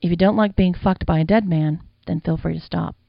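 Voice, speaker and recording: A woman's voice: on the low side, steady tone, fairly quick, vocal fry, dry recording, dull audio. A woman voicing an eager, cocky young man in plain, bold retorts.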